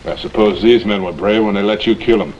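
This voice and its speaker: An actor playing a blunt, defiant civilian army scout with folksy frontier speech in a 1950s TV western. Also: male voice, lowish voice, fairly even intonation, fairly quick, lo-fi sound, slight background noise, lightly acted. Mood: accusing. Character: plain-spoken, defiant civilian army scout, protective and wary. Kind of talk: 1950s television western acting.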